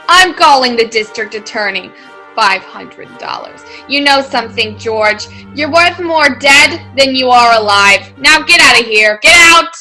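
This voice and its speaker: Merciless female tycoon, played by an actress: sharp, high voice, menacing contempt, radio drama. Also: expressive, unhurried, faint music behind, exaggerated voice, low-quality recording. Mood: contemptuous. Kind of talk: old-time radio play acting.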